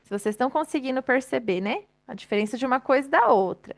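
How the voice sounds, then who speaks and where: A woman's voice: sits high, lively intonation, quick pace. A woman giving a chatty, live-streamed motivational talk, addressing the audience directly and laughing often.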